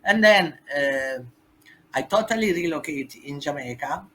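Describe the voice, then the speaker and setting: A woman's voice: low-pitched, animated, measured pace, fairly bright tone, slight background noise. A woman from Italy with an Italian accent, speaking English as a second language with emphatic, non-native phrasing as a guest in a podcast interview. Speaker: guest, human rights activist.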